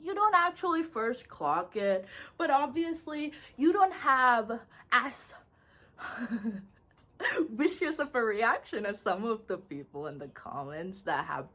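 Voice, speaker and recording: A young woman from India, a vlogger chatting casually to camera, animated and chatty, laughing often and calling people "bro". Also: fairly high pitch, slow pace. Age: young adult.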